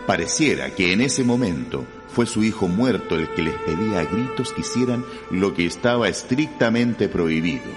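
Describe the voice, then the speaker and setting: A man's voice: low voice, expressive, normal speed, hardly any pauses. A man narrating a crime radio drama in a grave, suspenseful style. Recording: long reverb, music bed.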